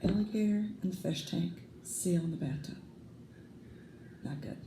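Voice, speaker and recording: A woman reading a children's picture book aloud as a storyteller, deliberate and expressive. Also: on the low side, gravelly. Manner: deliberate, emphatic, with sound effects. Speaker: read-aloud teacher, storyteller. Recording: roomy echo, faint background noise.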